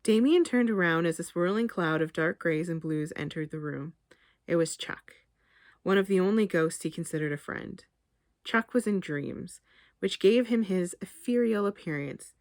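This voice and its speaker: A woman author reading her short story aloud in a measured, expressive literary narration. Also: on the low side, no room sound, clean studio sound.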